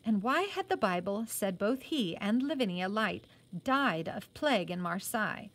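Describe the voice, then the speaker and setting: A woman's voice: medium pitch, sing-song, normal speed, fairly bright tone. A woman reading a novel aloud as a teacher would, with short explanatory asides.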